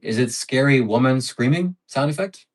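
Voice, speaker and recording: A man's voice: mid-range voice, lively intonation, moderate pace. A man hosting a casual livestream, thanking donors and stacking up adjectives as he chats. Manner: reads out donations and piles up adjectives. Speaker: livestream host.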